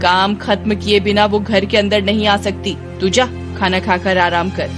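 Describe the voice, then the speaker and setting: A woman's voice: mid-range voice, level tone, measured pace, music in the background, lightly acted. A woman voicing a harsh, greedy, domineering mother-in-law who scolds sarcastically in a children's story. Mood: sarcastic.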